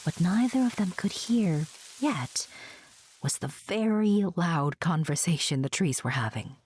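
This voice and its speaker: A woman playing a chatty, whimsical narrator in a fantasy audio drama, breathy and confiding, with playful asides. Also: fairly low pitch, lively intonation, a little slow. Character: chatty, whimsical storyteller fond of her enchanted forest.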